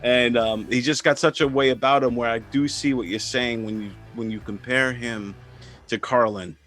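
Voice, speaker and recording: A male host with a mid-pitched voice talks casually, stopping and restarting and repeating words. Faint music plays underneath. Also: steady tone, normal speed, a bit bright. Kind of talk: casual chat.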